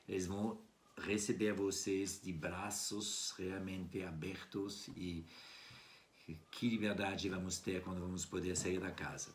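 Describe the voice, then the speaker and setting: A man with a distinctly low voice and a French accent presents wines informally. A native French speaker, he feels his way through phrases in a second language, pausing and rephrasing as he goes. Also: a little hiss or hum. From France. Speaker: wine club presenter. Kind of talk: informal wine tasting presentation. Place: at home indoors.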